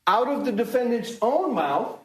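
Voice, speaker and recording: A man speaking as a prosecutor to a jury, making an emphatic, deliberate closing argument with repeated phrases, recorded in a courtroom. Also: very high voice, sing-song, roomy sound, poor audio. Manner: emphatic, deliberate, repetitive rhetoric. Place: indoor courtroom.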